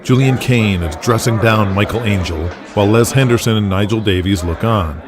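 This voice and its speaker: A man's voice: on the low side, moderate pace, crowd noise. A man narrating a scripted audio drama in the present tense.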